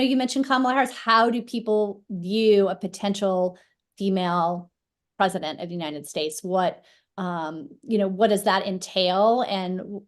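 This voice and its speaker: Thoughtful woman analyst, mid pitch. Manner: thoughtful, with you-knows. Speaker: political analyst, faculty guest. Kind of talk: interview answer.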